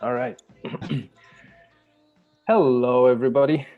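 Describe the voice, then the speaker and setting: Guy hosting a zoom workshop, encouraging, stops and starts a lot, normal pitch, some music under it. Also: fairly even intonation, phone-quality sound. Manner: halting, encouraging. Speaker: workshop host. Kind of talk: informal online workshop facilitation. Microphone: video call microphone. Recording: video call with background music.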